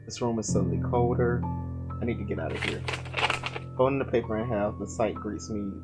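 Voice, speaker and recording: A man reading a horror visual novel's text aloud, breaking off to swear and react loudly between lines. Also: medium pitch, brisk. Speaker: gamer playing a visual novel. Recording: loud game music behind.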